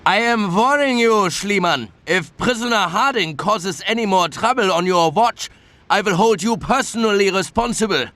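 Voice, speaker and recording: An actor playing a menacing, self-pitying German prison camp commandant in a video game, formal and theatrical. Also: male voice, high voice, normal speed, a bit bright. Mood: stern, threatening. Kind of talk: video game cutscene acting. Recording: music behind the voice.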